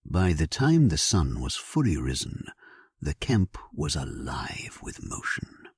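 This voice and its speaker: A man narrating a sleep story as a grave, resolute English king, in a solemn, measured, dramatic way with a husky, breathy voice. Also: extremely low pitch, huge pitch swings, darkish tone, no echo, full character voice. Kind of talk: scripted first-person sleep-story narration.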